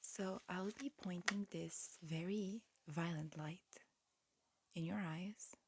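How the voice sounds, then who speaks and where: Slow, whispering ASMR woman. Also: lowish voice, background noise. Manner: soft, hushed whispering with slow, careful explanations. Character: calm, attentive alien examiner disguised as a human. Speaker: ASMR roleplay performer playing an alien examiner. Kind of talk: whispered ASMR medical exam roleplay. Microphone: close ASMR microphone.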